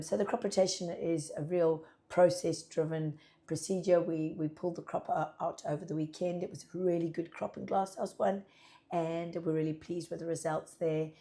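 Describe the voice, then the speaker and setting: Husky woman, chatty farm vlog. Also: low voice, warm tone. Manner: chatty, upbeat, many ums. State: husky, croaky from a cold. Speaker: farm labour manager. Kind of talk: casual informative vlog. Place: pack house office.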